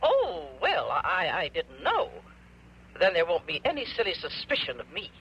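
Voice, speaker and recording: A woman's voice: on the low side, wildly swooping pitch, moderate pace, fairly bright tone, breathy edge, dull audio, heavily acted. A woman playing a nervous, haughty murder suspect in an old radio drama, imperious yet flustered and stammering. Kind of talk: mid-century radio drama acting. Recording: vintage radio recording.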